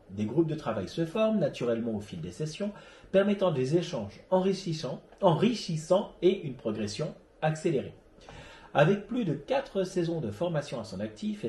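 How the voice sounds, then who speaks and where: Man presenting in an echoey room, animated pitch. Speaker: host of an amateur radio web show. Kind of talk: scripted presentation, read narration.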